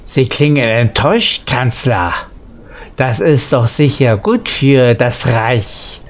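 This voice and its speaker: Mid-pitched man role-playing a starship captain. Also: expressive, slow. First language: German. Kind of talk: in-character game role-play narration.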